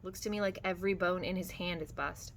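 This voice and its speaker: Woman reading a blunt, authoritative ranch man, bright voice. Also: fairly low pitch, fairly even intonation, a little fast, a little hiss or hum. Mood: grave. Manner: blunt, folksy rural speech. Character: tough, authoritative mule-skinner, a blunt fair-minded man.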